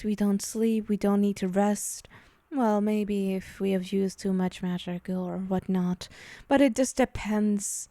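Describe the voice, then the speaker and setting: Powerful female demon, played by a woman, ASMR: unhurried, dark-toned, teasing, possessive, condescending. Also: mid-range voice, no echo, studio quality, natural voice. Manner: slow, teasing, condescending, murmuring into whispers. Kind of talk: ASMR roleplay.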